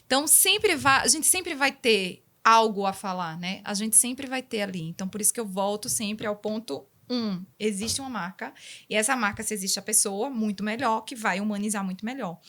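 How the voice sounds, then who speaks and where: A woman's voice: mid-range voice, lively intonation, normal speed, sharp tone. A woman guest on a business podcast who keeps circling back to her point. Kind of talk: casual business chat.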